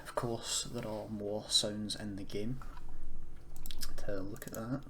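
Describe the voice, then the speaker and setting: A man's voice: lowish voice, flat delivery, slow, a bit bright. A man presenting a casual screen-recorded tutorial, explaining in an unscripted, hesitant way. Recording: noisy home recording, keyboard typing.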